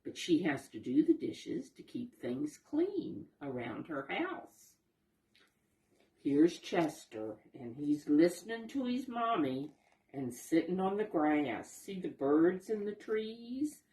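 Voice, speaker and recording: Brief: female children's storyteller, mellow and very unhurried, patient explanations, simple questions, roomy sound. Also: lowish voice, very animated. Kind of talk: children's read-aloud lesson, addressing young listeners.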